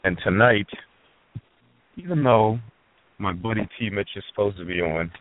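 A man with a very low voice hosts a call-in radio talk show, bantering and teasing in a relaxed, joking way. His voice comes through a tinny phone line with no room echo. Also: moderate pace.

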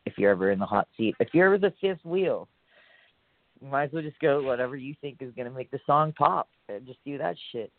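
A man's voice: lowish voice, dry recording. Laid-back rambling man, phone-line sound. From Hawaii. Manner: laid-back, rambling, lots of fillers and swearing. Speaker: musician guest. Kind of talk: casual interview chat. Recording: dry, phone-like audio.

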